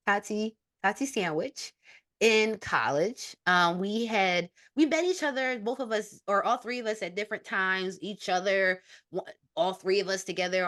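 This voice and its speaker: Lively young woman hosting a chatty podcast. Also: medium pitch. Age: young adult. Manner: bubbly, excitable. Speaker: podcast host. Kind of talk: casual chat with friends.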